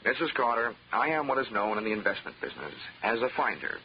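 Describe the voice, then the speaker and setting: An actor playing a wisecracking insurance investigator in a 1940s radio drama, narrating and talking with a dry, wry manner. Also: male voice, mid-range voice, very animated, quick pace, slight background noise, natural voice. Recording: muffled old radio broadcast with music.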